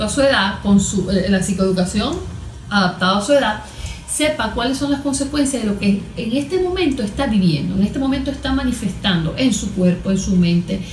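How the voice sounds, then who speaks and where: A Venezuelan woman explaining as an expert guest in conversation, halting and repeating words, often posing rhetorical questions. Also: medium pitch. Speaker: cognitive therapist, interview guest. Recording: echoey room with background noise.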